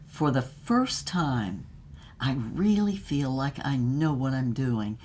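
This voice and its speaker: Slow, low-voiced theatrical film diva. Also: female voice, animated, a little mellow, a little hiss or hum, heavily acted. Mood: grandiose, exultant. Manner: grandiose, languid, theatrical delivery with drawn-out words. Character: Mynx Devlin, grandiose theatrical female film star reminiscing. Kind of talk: dramatic audio fiction monologue.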